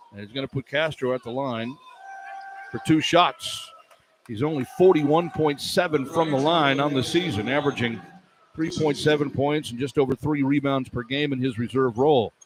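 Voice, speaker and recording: A man calling live basketball on the radio in clipped running descriptions that rise into excited calls, as a play-by-play announcer. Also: mid-range voice, normal speed. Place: indoor college basketball arena. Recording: clear arena noise behind the voice.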